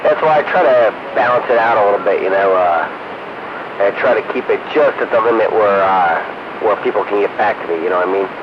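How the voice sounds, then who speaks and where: A man chatting in a loose, halting, slangy way over a two-way radio. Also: lowish voice. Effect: two-way radio transmission. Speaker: radio operator in a group chat. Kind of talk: casual radio chat. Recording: muffled, noisy two-way radio.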